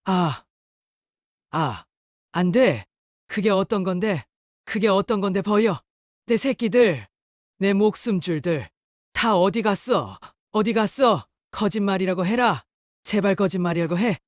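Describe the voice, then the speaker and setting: A woman's voice: medium pitch, animated, unhurried, long pauses, dry recording, clean studio sound, close to an everyday voice. A woman playing a devastated elderly mother-in-law in a melodramatic audio drama, anguished and pleading. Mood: anguished disbelief. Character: devastated elderly mother-in-law, wailing over her lost treasures.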